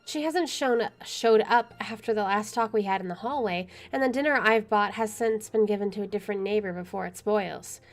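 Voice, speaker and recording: A woman's voice: medium pitch, normal speed, hardly any pauses. A woman streaming a game, reading visual novel narration aloud and adding casual asides. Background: soft music.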